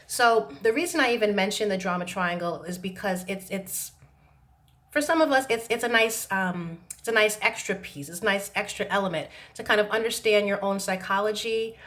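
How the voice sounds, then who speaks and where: A woman talking to camera about self-healing, hesitant with many pauses and restarts, her voice still a little rough from laryngitis. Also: medium pitch, a bit bright. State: recovering from laryngitis, slight roughness. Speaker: self-help vlogger. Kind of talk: reflective talk to camera. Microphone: camera mic.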